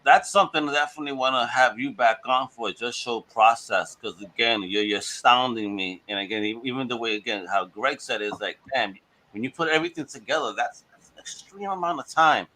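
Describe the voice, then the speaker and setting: A man's voice: medium pitch, a little fast, bright, forceful tone, rough recording. A man from Brooklyn hosting a podcast, chatting casually and eagerly hyping up his guests. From Brooklyn, New York. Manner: enthusiastic, hypes guests up. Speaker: podcast host. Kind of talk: casual chat.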